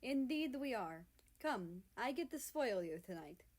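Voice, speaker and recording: Lady doing a big gentle guy, soft smooth talk, kinda formal, story reading. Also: on the high side, big pitch swings, a little slow, pitched above the performer's own voice. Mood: gentle, composed. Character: tall, gentle, devoted male athlete, softly smooth.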